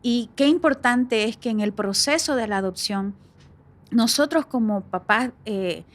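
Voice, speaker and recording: Brief woman host, middle-aged, higher voice, reflective, filler-heavy. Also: measured pace. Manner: warm reflective, many fillers. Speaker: podcast host. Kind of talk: faith-based conversational podcast.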